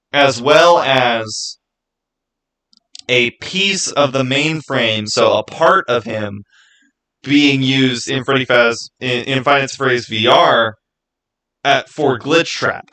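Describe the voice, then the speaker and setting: A man hosts a casual livestream in a mid-pitched voice. He talks off the cuff and somewhat haltingly, often turning to his viewers with rhetorical questions. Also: bright, forceful tone, rough recording. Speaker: livestream host.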